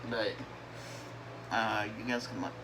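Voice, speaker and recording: A man's voice: fairly low pitch, steady tone, slow pace. A young man from the northern United States talking casually over gameplay, rambling with many fillers and restarts. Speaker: gaming YouTuber. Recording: game engine noise behind.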